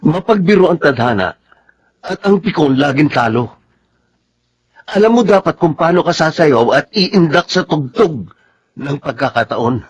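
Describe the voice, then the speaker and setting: A man's voice: fairly high pitch, expressive, a little slow, pauses often, breathy edge. A man acting an earnest, scolding young man in a radio drama, with a Filipino accent and an admonishing way of talking. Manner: preachy, admonishing.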